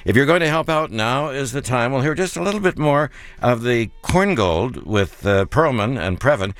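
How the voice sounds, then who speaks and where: A man hosting a public radio fundraiser in the studio, chatting genially with guests and slowing down to read out the pledge number. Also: medium pitch, animated, a bit bright, clean tone, no echo. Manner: genial, chatty, slows to repeat phone numbers. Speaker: radio host. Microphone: studio mic.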